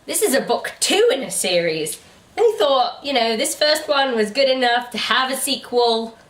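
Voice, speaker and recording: A woman co-hosting a book review channel, chatting casually in an animated, incredulous way. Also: fairly high pitch, normal speed, recorded from a distance. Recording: echoey room, away from the mic.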